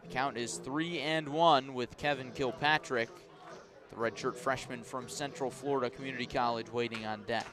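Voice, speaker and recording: A man calling a baseball game live on the radio, describing each pitch in measured phrases and lifting his voice for key plays. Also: fairly even intonation, a crowd in the background. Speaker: baseball play-by-play announcer. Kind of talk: live radio sports commentary.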